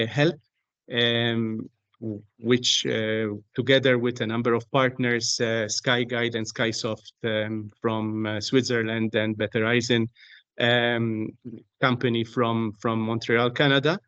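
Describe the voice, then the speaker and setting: Man, low-pitched and close-sounding, deliberate and halting technical explainer, repeats words mid-phrase. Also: little pitch movement, fairly bright tone, clear, resonant tone, no echo, dull audio. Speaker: aviation technology company expert, interview guest. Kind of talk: explanatory interview talk on a technical subject.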